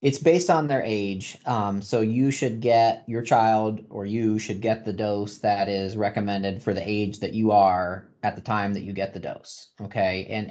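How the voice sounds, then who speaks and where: A man's voice: on the low side, a little mellow. A man answering as a physician panelist on a video call, explaining step by step and repeating phrases for emphasis. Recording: dull video-call audio.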